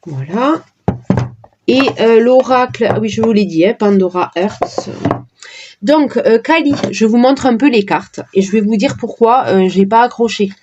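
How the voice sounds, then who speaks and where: A woman casually presenting her oracle card decks, talking in a hesitant way with many pauses and fillers. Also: a little high, a little mellow, lo-fi sound, slight background noise. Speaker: card reader vlogger.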